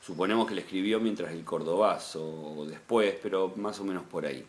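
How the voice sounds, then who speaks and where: A man's voice: sits low, brisk. A man with an Argentine accent gives an opinionated podcast monologue in a discursive, professorial way. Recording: faint background noise.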